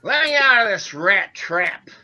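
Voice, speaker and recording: Male actor as a gangster sidekick, 1940s radio drama, sharp forceful tone, slow, brusque, slangy. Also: high-pitched, animated, dull audio, full character voice. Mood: agitated. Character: rough, impatient male gangster henchman.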